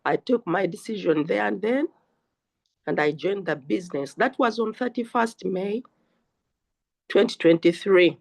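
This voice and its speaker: An older woman with a Ugandan English accent shares her story on a group call. She talks slowly and earnestly, pausing between phrases, at a medium pitch. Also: vocal fry, darkish tone. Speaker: guest speaker. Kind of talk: personal testimony on a group call.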